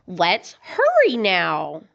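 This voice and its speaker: An impatient mother pig from a children's storybook, voiced by a woman. She is bossy and emphatic, with lively pitch swings and drawn-out words. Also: gravelly, lo-fi sound, slight background noise, full character voice. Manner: scolding, emphatic.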